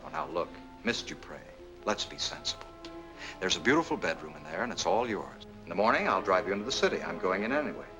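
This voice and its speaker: An actor playing an earnest, lovestruck American engineer in a 1940s film noir, speaking in a conversational manner. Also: male voice, sits low, sing-song, fast talker, rough recording. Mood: gentle, courteous. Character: earnest, lovestruck male engineer, sentimental and solicitous. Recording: 1940s film soundtrack, faint music.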